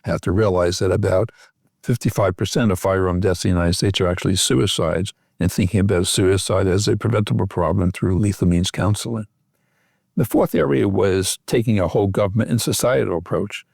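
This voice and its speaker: Deep, creaky-voiced man explaining methodically. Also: a little mellow, no echo, studio quality. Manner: measured, methodical point-by-point explaining. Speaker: expert guest, physician researcher. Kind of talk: explanatory interview talk.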